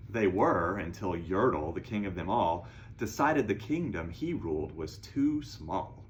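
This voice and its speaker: A man reading a rhyming children's story aloud in an expressive storytelling style. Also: deep voice, a little slow, slight background noise.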